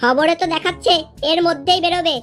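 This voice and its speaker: A stern cartoon father played by a woman in a high-pitched voice. He nags and scolds in blunt comic dialogue, with faint music underneath. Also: fast talker, fairly bright tone. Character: stern, nagging father of schoolboy, quarrelsome.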